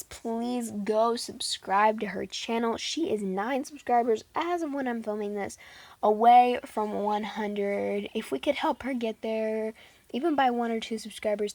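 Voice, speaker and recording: A woman's voice: fairly high pitch, darkish tone, no room sound. Chatty teen girl vlogger, dry sound. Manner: chatty, giggly, fillers like "like". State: losing her voice. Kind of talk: casual chat to camera.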